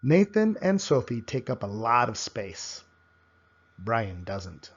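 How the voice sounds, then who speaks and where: A man reading a children's picture book aloud in a measured, expressive storytelling manner. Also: medium pitch, husky, muffled recording. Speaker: storybook reader.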